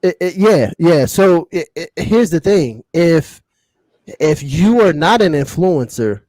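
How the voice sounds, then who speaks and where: A man's voice: on the high side, lively intonation, slow pace, darkish tone, no room sound. A man with an American accent in a casual panel discussion, speaking emphatically and repeating words and phrases to drive points home. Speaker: podcast panelist.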